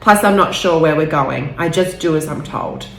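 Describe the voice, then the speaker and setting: A woman's voice: deep voice, normal speed, gravelly, fairly bright tone, reverberant. A woman reading the part of a stiff, evasive young boy in an audiobook, curt and defensive. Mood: defensive, resigned. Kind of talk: audiobook character dialogue.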